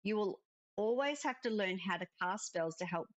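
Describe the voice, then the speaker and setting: Woman voicing patient witch mentor spirit, expressive. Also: mid-range voice, normal speed, highs cut off, slight background noise, lightly acted. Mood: instructive. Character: spirit of an elder witch, kindly female mentor. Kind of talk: cozy visual novel dialogue read aloud.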